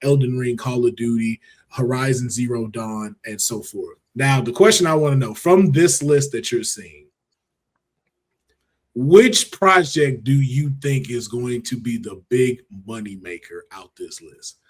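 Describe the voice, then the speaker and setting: A man's voice: mid-range voice, a little slow, husky. A man hosting a podcast, chatting casually with informal, slangy phrasing. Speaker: podcast host. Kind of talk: casual panel podcast chat.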